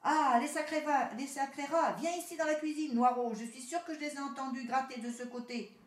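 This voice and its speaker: A woman voicing an angry man of the house shouting at rats in a storybook tale. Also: high voice, fairly even intonation, a little fast, slight background noise, voice pushed up, lightly acted. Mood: furious. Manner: shouting, furious. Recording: roomy echo.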